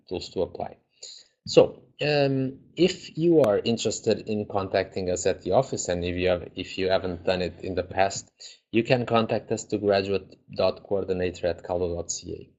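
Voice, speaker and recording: A man coordinating a graduate program, calmly giving advice in an online information session, with fillers and restarts. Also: deep voice. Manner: calm, advising, with fillers and restarts. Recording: dull lo-fi recording.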